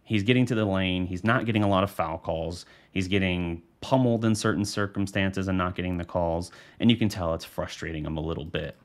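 A man's voice: sits low, brisk, hardly any pauses. An American man in his thirties giving casual, unscripted postgame basketball analysis in a conversational, rambling way into a studio microphone. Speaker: sports show host and analyst. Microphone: studio microphone on a boom arm.

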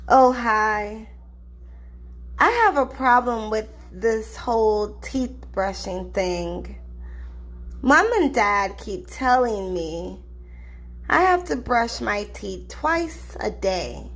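Woman voicing a slow-talking, reluctant little storybook boy. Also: a little high, slightly rough, heavily acted. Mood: grumbling. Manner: expressive, complaining.

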